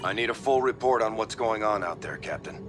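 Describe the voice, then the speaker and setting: Stern military admiral, played by a man, low voice, measured authority, echoing room, music behind, game cinematic. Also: expressive, close to an everyday voice. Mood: businesslike. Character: stern but fair male military fleet admiral. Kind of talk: video game cinematic acting.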